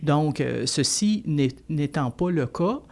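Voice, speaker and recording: Podcast guest: man, slightly raised pitch, earnest and explanatory, with frequent restarts. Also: lively intonation, takes it slowly, creaky, darkish tone. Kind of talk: podcast panel discussion.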